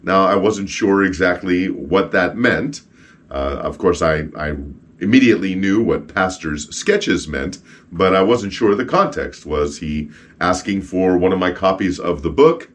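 A man with a rough, froggy voice from a cold hosts a podcast, chatting casually with joking asides and reading stories aloud. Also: sits low, expressive, measured pace. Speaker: podcast host and street evangelist.

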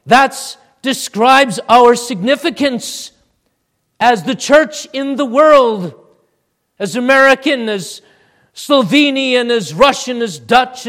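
A man preaching a sermon and leading a prayer, moving from quiet low asides to loud, high exhortation. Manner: deliberate, drawn-out preaching delivery. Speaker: pastor.

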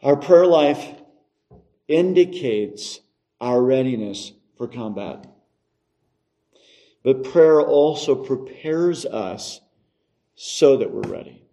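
A man's voice: medium pitch, lively intonation, slow pace, pauses often, mellow. A middle-aged man preaching an expository sermon, emphatically repeating key phrases as he goes.

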